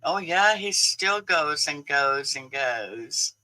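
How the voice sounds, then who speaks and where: A man's voice: on the high side, lively intonation, slow, sharp tone, rough recording. A man in his sixties hosting a casual livestream, chatty and laughing often as he answers his viewers. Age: 60s. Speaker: livestream host.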